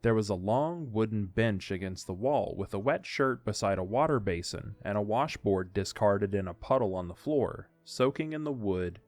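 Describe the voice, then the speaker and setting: A man's voice: lowish voice, animated, normal speed, hardly any pauses, a little mellow, no echo, faint music behind. A man reading scripted story narration for an audio drama.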